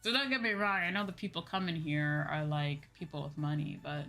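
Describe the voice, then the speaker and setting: Lower-voiced woman streamer chatting over music. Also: steady tone, a little fast, pushed and bright. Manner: rambling, thinking aloud, loosely repeating points. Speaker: livestream host and virtual streamer. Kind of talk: casual livestream chat with viewers. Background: background music.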